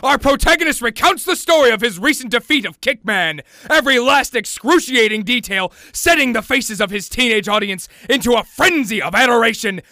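Guy doing a super high bright pompous narrator for a comedy radio serial, over the top. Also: expressive, brisk, no echo, full character voice. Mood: overblown. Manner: pompous, melodramatic, grandiose. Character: bombastic, florid narrator of a comic detective serial.

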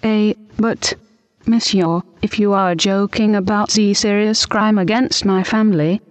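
A woman's voice: medium pitch, steady tone, unhurried. A synthetic computer voice playing a prim, easily offended French noblewoman with a formal, stilted delivery. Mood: aggrieved. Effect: computer text-to-speech voice.

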